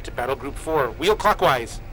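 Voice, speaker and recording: A man's voice: mid-range voice, expressive, normal speed, noisy background, lightly acted. A man playing an urgent starship battle commander in a science fiction radio drama, barking clipped orders. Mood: urgent, commanding.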